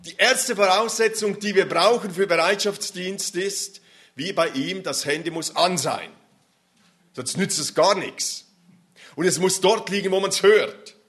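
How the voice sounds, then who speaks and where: A man's voice: fairly high pitch, fairly bright tone. A man preaching an interactive sermon, emphatic and addressing the audience directly.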